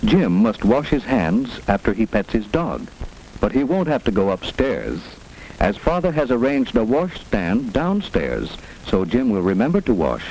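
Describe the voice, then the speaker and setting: A man's voice: on the low side, very animated, measured pace, a little mellow. A man narrating a 1940s educational film in a simple, instructive manner suited to children. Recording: noisy 1940s film soundtrack with hiss.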